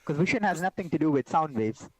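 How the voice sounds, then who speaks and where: Laughing high-voiced man from India. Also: very animated, quick pace, no echo, poor audio. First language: not English. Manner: laughs often, keeps saying "wait, wait" to cut in. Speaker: podcast co-host. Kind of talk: casual chat.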